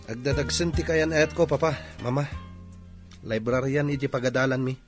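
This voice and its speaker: A man's voice: mid-range voice, steady tone, normal speed, soft background music, natural voice. A man playing a hesitant, defensive young student in a radio drama. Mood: anxious. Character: hesitant, doubting young male student facing fatherhood.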